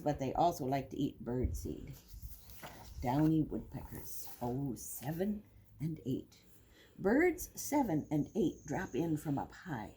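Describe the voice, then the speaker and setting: An older woman reads a picture book for little children, pausing to explain things simply. Her voice is slightly low and mellow, and she takes her time. It is recorded in a classroom with faint background noise and clicks. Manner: simple explanatory asides, counting aloud. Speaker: teacher reading to young children. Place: indoor classroom with wooden furniture. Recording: noisy room recording with clicks.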